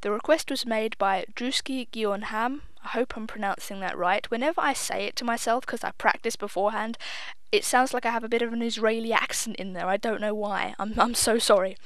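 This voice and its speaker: A woman's voice: a little high, fairly quick, fairly bright tone, no room sound. Chatty young woman, dry sound. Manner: chatty, self-deprecating, swears casually; says she sounds nervous and tense. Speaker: gaming video host. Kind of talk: casual gameplay commentary voice-over.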